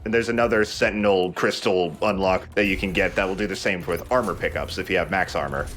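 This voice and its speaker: A man's voice: sits low, fairly even intonation, brisk, a bit bright, soft background music. A man giving casual gameplay commentary as host of a let's play, explaining mechanics as he goes. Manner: explains game mechanics as he plays.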